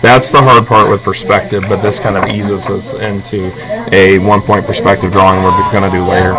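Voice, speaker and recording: Low-voiced male art teacher, noisy classroom. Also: little pitch movement, a little fast. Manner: patient, informal explaining. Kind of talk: hands-on drawing instruction. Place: busy classroom. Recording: noisy room full of chatter. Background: loud crowd chatter.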